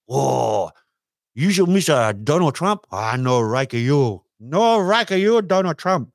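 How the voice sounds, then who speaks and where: A man voicing a scolding male heckler who speaks broken English, pitched up. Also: slow, creaky, dry recording, studio quality. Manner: high, mocking, broken English.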